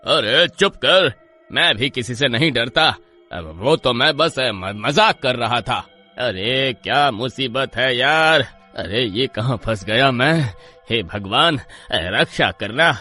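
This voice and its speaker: An actor playing a lazy, cowardly village husband in a horror story, whiny, blustering and given to stammering. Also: male voice, medium pitch, lively intonation, slow pace, bright, forceful tone, soft background music, heavily acted. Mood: scared bluster.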